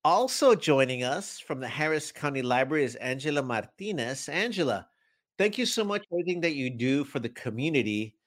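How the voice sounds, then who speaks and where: A man hosting a community radio and podcast show, introducing guests with energetic, emphatic delivery. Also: medium pitch, fairly quick.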